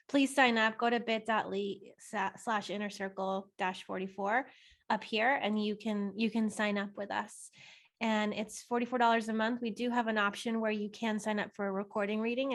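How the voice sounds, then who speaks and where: A woman's voice: mid-range voice, level tone, moderate pace. A woman co-hosting a live webinar in a casual, chatty, enthusiastic way with frequent giggles. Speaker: webinar co-host. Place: online video call.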